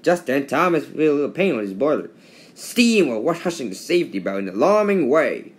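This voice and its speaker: A man reads a children's storybook aloud. His pitch moves around a lot, and he often trips over words and goes back to repeat them. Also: very animated, fairly quick. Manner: stumbles and repeats words while reading. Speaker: storybook reader.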